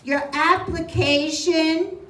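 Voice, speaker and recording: A woman doing a live stand-up set, telling self-mocking stories in a loud, wry voice. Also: very high voice, steady tone, slow pace. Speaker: stand-up comedian. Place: small live venue with audience. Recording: roomy echo, distant mic.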